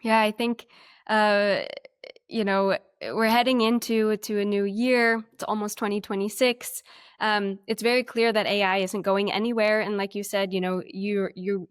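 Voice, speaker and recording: Clear-voiced woman moderating a webinar. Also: mid-range voice, fairly even intonation, normal speed, no room sound, studio quality. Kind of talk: conversational moderating.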